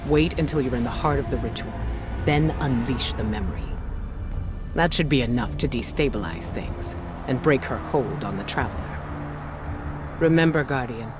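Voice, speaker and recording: Commanding warrior-scholar woman over loud music. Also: rumbling low, expressive. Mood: grave briefing. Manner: measured, commanding, earnest. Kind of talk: dramatic video game briefing. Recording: voice under loud game music.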